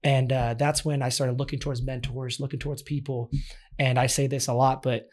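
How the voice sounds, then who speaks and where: A man in his late twenties, a youth sports coach, slightly raspy from yelling, telling his story earnestly in a casual interview. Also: mid-range voice, little pitch movement, fairly quick. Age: 20s.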